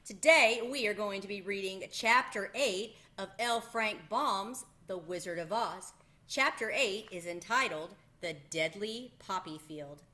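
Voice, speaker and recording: A woman with a bright, forward voice reads a children's chapter book aloud. Her register is medium and her storytelling expressive. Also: medium pitch, unhurried. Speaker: read-aloud host.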